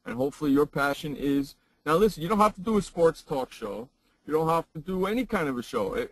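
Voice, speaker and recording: A man who trains broadcasters, giving a lesson in a loud, emphatic way and repeating phrases for effect. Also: a little high, no room sound, poor audio. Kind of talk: casual chat turning into a spoken lesson.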